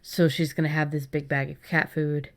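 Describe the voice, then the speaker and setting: A woman's voice: sits low, level tone, moderate pace. A woman hosting a casual discussion, explaining things in a conversational way. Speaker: host.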